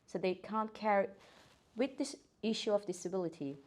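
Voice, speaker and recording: A woman's voice: medium pitch, normal speed, darkish tone. A woman, a guest occupational therapist talking earnestly, with hesitant fillers and restarts. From Iran. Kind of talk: casual podcast interview.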